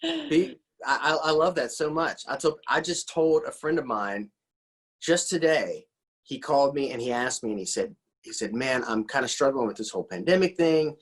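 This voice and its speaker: A man's voice: medium pitch, big pitch swings, normal speed. A man hosting a casual interview in an encouraging, enthusiastic, rambling conversational way, often repeating his words. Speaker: interview host.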